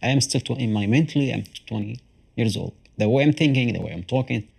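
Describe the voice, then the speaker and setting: A man in his thirties speaking halting, in a candid interview, repeating phrases as he goes. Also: medium pitch, animated, creaky, darkish tone, slightly rough. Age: 30s. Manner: halting, plain non-native English with repeated phrases. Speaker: former detainee being interviewed.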